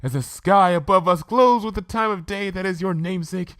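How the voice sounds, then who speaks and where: Man putting on a high, theatrical lady unicorn. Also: fairly quick, a bit bright, no room sound, clean studio sound, faint music behind. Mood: dreamy. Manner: theatrical, affected, genteel. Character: refined, dramatic, gossipy young female unicorn socialite.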